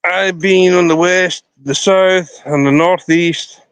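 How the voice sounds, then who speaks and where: A man's voice: high-pitched, steady tone, slow pace, a bit bright, clean tone, no room sound, rough recording. A Scottish man chatting to viewers on a casual live stream outdoors by a river, rambling as he talks into his phone. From Scotland. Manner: rambling, chatty, talking to viewers while walking.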